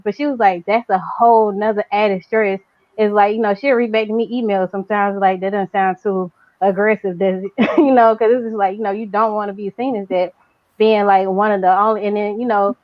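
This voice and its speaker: A woman in casual conversation who talks in long, rambling stretches full of fillers and pushes her naturally quiet voice louder. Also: medium pitch, no echo, highs cut off. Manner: says her natural voice is very quiet but trains herself to speak louder. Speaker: guest. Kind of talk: casual chat.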